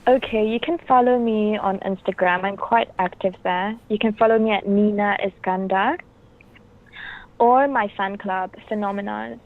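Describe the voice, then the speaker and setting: A young woman from Brunei with a Bruneian accent, answering interview questions in a soft-spoken, hesitant way with many ums. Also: mid-range voice, normal speed. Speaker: actress guest. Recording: tinny phone-like line.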